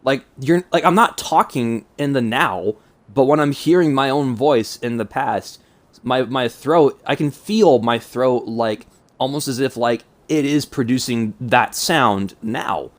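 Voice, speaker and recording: A man's voice: mid-range voice, unhurried. A man of twenty-two with a mild cold and stuffed-up nose, talking casually and self-consciously in a hesitant, rambling vlog. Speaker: YouTuber.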